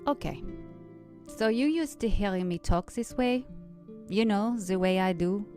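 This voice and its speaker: A woman's voice: mid-range voice, expressive, unhurried, darkish tone, faint music behind, lightly acted. A woman playing a sly, lascivious, self-amused devil narrating an audio drama in a theatrical, wordy, playful way. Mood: teasing.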